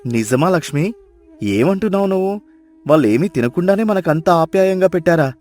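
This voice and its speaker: A man's voice: sits high, lively intonation, a little fast, darkish tone. A man playing a well-off, emotional village brother-in-law in a dubbed moral-story cartoon, with earnest, emphatic delivery. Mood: concerned, surprised. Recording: music behind the voice.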